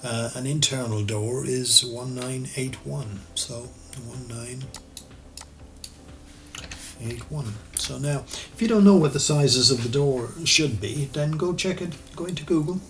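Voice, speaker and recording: Irish guy doing a cad tutorial, gravelly voice, thinks out loud, fixes himself mid-sentence. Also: medium pitch, a little slow, noisy background. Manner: relaxed, thinks aloud while working, self-correcting. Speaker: architectural designer and CAD tutor. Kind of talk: screen-recorded software tutorial. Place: voice-over for screen recording.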